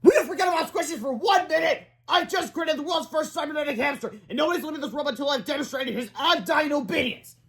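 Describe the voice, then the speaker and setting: A man voicing a bossy, boastful schoolboy inventor in a storybook, excitable and demanding. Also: very high-pitched, fast talker, hardly any pauses, pitched above the performer's own voice. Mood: exasperated. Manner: shouty, demanding, self-important.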